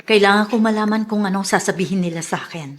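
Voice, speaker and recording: A woman's voice: mid-range voice, fast, a little hiss or hum, natural voice. A woman playing a worried mother in a radio drama, speaking in an anxious, hesitant manner. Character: Mariana, worried mother fighting for her child. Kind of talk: Filipino radio drama acting.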